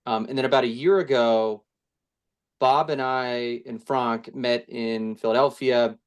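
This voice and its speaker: A man's voice: medium pitch, fairly even intonation, unhurried, smooth. A man who co-founded a housing firm, talking casually in an interview with frequent restarts and fillers. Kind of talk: interview answer.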